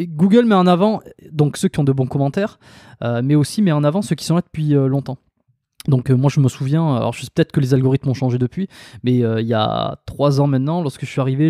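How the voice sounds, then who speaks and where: Male podcast host, mellow tone, casual, dry close sound. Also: medium pitch, no room sound. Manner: conversational, with frequent fillers.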